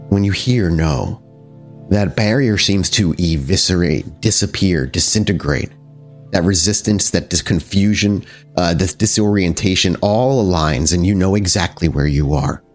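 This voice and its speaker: A man with a fairly low voice runs a tabletop horror game as game master. He describes scenes in detail, pausing and rewording as he searches for the right phrase, with music playing clearly behind him. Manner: descriptive, halting while searching for words. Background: background music.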